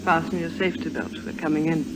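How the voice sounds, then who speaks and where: A woman's voice: low voice, fairly even intonation, quick pace, very noisy, lightly acted. A woman playing an alluring young airline hostess, coy, cool and polite, in vintage radio drama style. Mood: cool, playful. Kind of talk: 1950s radio drama acting. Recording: vintage radio recording with music behind.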